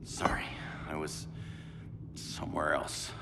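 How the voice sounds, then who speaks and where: An actor playing a cocky, resolute cosmic superhero haunted by guilt in a video game, with a gritty voice. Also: male voice, slow, whispered, close to an everyday voice. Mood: wry, steady. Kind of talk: dramatic video game acting. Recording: loud music under the voice.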